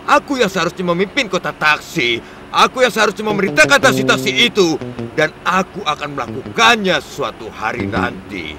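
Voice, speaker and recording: A man's voice: sits high, big pitch swings, brisk, crowd noise. A man voicing a jealous, scheming vehicle in a dubbed children's cartoon who grumbles that he should be the one giving orders. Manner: sulky, plotting, grumbling. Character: jealous scheming male vehicle who wants to boss everyone. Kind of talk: cartoon dubbing. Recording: music playing underneath.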